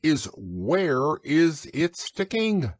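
A man's voice: a little high, sing-song, very slow, slightly rough, dry recording, pitched above the performer's own voice, full character voice. A man voicing a bossy, self-assured male rabbit who acts like a know-it-all in a storybook. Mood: confident.

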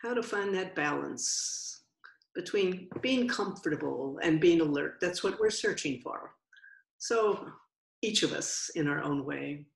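Slow-speaking woman guiding meditation, roomy echo. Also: deep voice, animated, creaky. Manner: gentle, unhurried, with long pauses. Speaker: meditation teacher. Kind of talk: guided meditation instruction. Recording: roomy, echoing sound.

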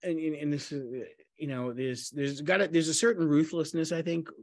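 A middle-aged man, a playwright in a talkback discussion on a video call, speaking haltingly and rephrasing often. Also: on the high side, fairly even intonation, no echo, studio quality. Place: room at home, on a video call.

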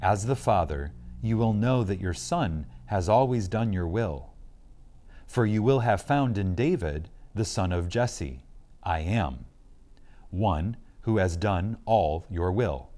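A man giving a reverent, scripted reading of a spiritual lecture, solemn and emphatic, with deliberate pauses. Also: low voice, expressive, dark tone.

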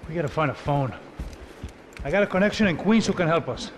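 Fast, lively streetwise sidekick male actor. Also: fairly high pitch, a little hiss or hum, natural voice. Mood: urgent, joking. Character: streetwise, jittery male partner on the run. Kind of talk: video game cutscene dialogue. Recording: loud music over the voice.

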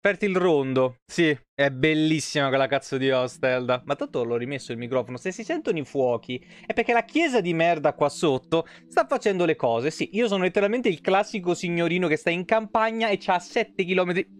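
High-pitched man streaming casually. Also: expressive, a little fast, hardly any pauses. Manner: rambling, sweary. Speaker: game streamer. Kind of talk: casual live stream chat. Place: small room at home. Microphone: close desk microphone on an arm.

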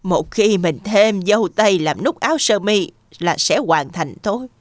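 A woman playing a kindly, excitable old grandmother in a children's cartoon, speaking expressively. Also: medium pitch, moderate pace, no echo, natural voice. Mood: thoughtful. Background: soft children's music.

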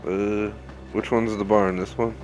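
A man's voice: fairly low pitch, little pitch movement, unhurried. A man chatting casually as he plays through a video game. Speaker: gamer. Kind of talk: casual gameplay chat. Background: game music.

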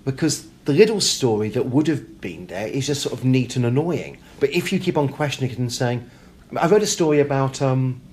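A man's voice: mid-range voice, expressive, a little fast, a little mellow. A man from Britain, an author, talking reflectively and animatedly in an interview, emphatically acting out imagined questions and answers. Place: hotel. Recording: background room noise.

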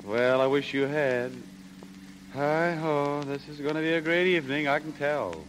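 A man's voice: on the high side, steady tone, normal speed, natural voice. An actor playing a breezy, stubborn rich young man, bantering in the style of 1930s radio drama. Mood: glum, bantering. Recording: faintly noisy 1930s radio broadcast.

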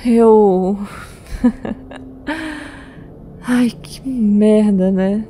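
A woman's voice: mid-range voice, steady tone, very slow, mellow, soft background music. A woman playing an anxious, caring young woman. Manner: hesitant, stammering, anxious. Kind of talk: audio drama acting.

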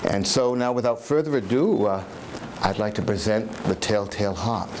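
A man, giving a halting, reflective spoken introduction to a live reading. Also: lowish voice. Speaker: dramatic reader. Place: small dim room in a historic house with seated audience. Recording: noisy live room recording.

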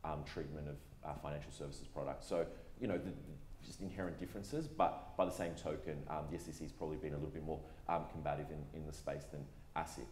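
Deep-voiced man on a panel. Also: fairly even intonation, a little mellow. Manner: measured, many fillers and restarts. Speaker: panelist and moderator. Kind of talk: conference panel discussion. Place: stage in a large wood-panelled hall. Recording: echoey hall with faint noise.

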